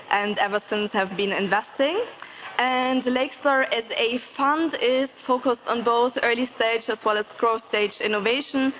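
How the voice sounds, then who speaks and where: A woman's voice: a little high, fairly bright tone, poor audio. A woman with a German accent explaining things as an investor on a conference panel. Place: conference main stage. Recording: faint ambient noise, live event stage.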